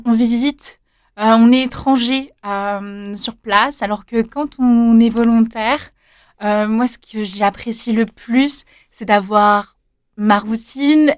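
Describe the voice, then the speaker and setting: A woman's voice: fairly high pitch, a little mellow, no echo, studio quality. A young woman answering interview questions casually, hesitant, with many fillers and restarts. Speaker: interviewee, former volunteer.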